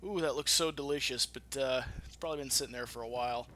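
A man's voice: mid-range voice, fast talker, bright, forceful tone, a little hiss or hum. A man hosting a gaming channel, giving casual, rambling let's-play commentary with informal, sweary talk. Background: game music.

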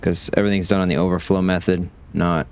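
A man's voice: sits low, flat delivery, fast talker, a little hiss or hum. A man casually commenting on his factory-building game as he plays, thinking aloud as he checks production. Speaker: gaming channel host.

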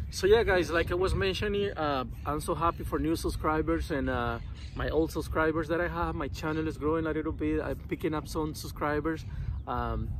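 A man's voice: medium pitch, vocal fry, a little hiss or hum. A man in his thirties who runs a lawn care business, chatting casually to his phone camera while sitting on the grass in a city park, rambling with lots of fillers. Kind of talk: casual vlog talking to camera. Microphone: phone camera at arm's length.

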